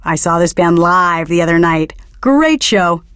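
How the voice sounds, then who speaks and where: An actress playing a young, earnest and smugly pretentious hipster DJ in a video game, talking between songs. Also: female voice, on the low side, expressive, a little slow, a bit bright, clean tone, dry recording, studio quality. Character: pretentious young hipster indie radio DJ woman, earnest and smug. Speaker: radio DJ. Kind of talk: radio DJ patter between songs.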